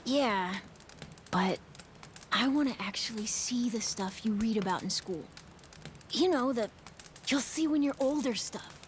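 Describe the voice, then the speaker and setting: Woman voicing a high-pitched plucky young kid. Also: a little slow, long pauses, slight background noise, lightly acted. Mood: curious, sincere. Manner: casual, plainspoken. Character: curious, adventurous young child, plucky and sincere. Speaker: video game character actor. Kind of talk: English video game dub, cinematic dialogue.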